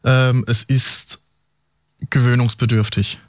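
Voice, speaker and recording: Bilingual French-German native man, police interviewee, conversational, mid-pitched, very dry recording. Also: flat delivery, slow, no echo. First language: French, alongside German. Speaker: police officer, interview guest. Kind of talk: conversational account of work experience. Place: studio.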